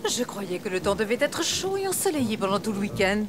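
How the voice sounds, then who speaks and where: A woman's voice: medium pitch, sing-song, a little fast, noisy background, close to an everyday voice. A woman playing a patient, sensible elephant mother in a children's cartoon, with a motherly, coaxing manner. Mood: exasperated. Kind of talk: dubbed children's cartoon acting.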